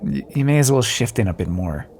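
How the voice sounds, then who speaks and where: A man's voice: on the low side, sing-song, brisk, darkish tone, slight background noise. A man playing a roguish, sardonic but decent thief in an audio roleplay, talking directly and teasingly to the listener. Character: roguish male thief escorting a deposed queen, wry but decent.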